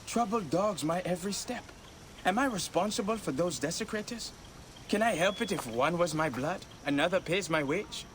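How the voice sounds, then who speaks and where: A man's voice: sits high, expressive, moderate pace, a bit bright, a little hiss or hum. An actor playing a boastful red-haired young thief who spins his tales glibly in a game cutscene. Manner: boastful, glib storyteller.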